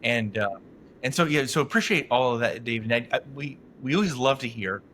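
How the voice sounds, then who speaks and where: A man's voice: mid-range voice, brisk, pushed and bright, a little hiss or hum. A man hosting an interview, asking long, rambling questions full of fillers. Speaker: show host, interviewer.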